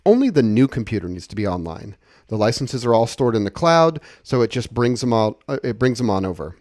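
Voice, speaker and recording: Man, medium pitch, casual tutorial presenter, informal step-by-step explaining, dry sound. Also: sing-song, fairly quick, darkish tone, dry recording. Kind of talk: casual screencast walkthrough.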